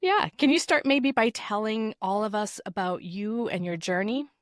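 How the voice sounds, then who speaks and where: A woman's voice: medium pitch, moderate pace, no room sound, clean studio sound. A woman hosting an interview podcast, moving between scripted introduction and conversational talk.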